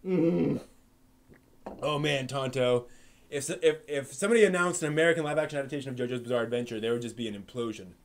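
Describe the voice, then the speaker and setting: A man hosting a casual anime live stream, telling stories in a rambling way with many fillers and restarts. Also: medium pitch. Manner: animated storyteller. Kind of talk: casual chat with chat readers.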